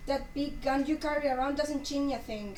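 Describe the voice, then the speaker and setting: A woman acting a sharp-tongued, scornful Cuban aunt in a dramatic reading of a stage play. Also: sits high, normal speed, natural voice. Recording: distant echoey room, faint noise.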